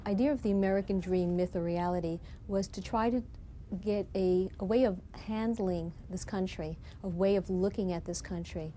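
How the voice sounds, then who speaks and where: A woman teacher reflecting in an interview on her class project, pausing with fillers. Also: mid-range voice, mellow, a little hiss or hum.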